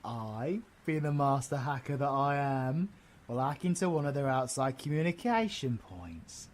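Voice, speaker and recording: Animated cocky British villain, played by a man. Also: medium pitch, moderate pace, slight background noise, natural voice. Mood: scheming, confident. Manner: cheeky banter, sweary. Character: cocky, crude, wisecracking British male supervillain hacker. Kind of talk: audio roleplay acting.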